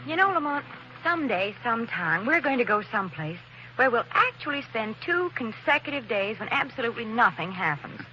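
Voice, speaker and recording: A woman playing a spirited young woman with a lively, teasing manner in a 1940s radio drama. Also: fairly high pitch, a little fast, over music. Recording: dull, hissy old radio recording.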